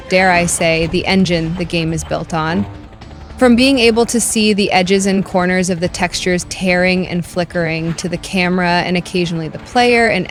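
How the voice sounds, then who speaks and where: Female game reviewer, lower register, scripted voice-over delivery over clearly audible music.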